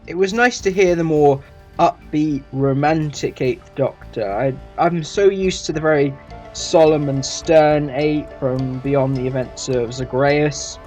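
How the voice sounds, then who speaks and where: Male video reviewer, slightly higher-pitched voice, casual commentary, light guitar music underneath. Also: a little slow, a little mellow.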